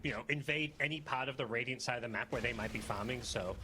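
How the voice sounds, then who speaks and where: A male esports analyst talks very quickly in an average-pitched voice. He gives conversational co-commentary on a live match, with clear noise and game ambience behind him.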